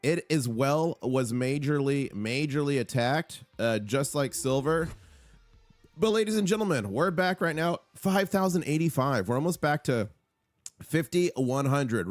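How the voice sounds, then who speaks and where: A man with a hoarse voice hosting a live market stream, urgent and hyped, repeating key figures for emphasis. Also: fairly high pitch, no room sound. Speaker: livestream host on silver markets. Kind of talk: excited live market commentary.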